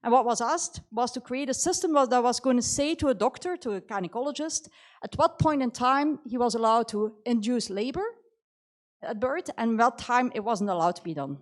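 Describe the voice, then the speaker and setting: A woman's voice: fairly high pitch, moderate pace. A middle-aged woman speaking English with a Belgian accent and a slight New Zealand tinge, giving a conversational career talk full of "you know". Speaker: conference speaker. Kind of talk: motivational talk about her career.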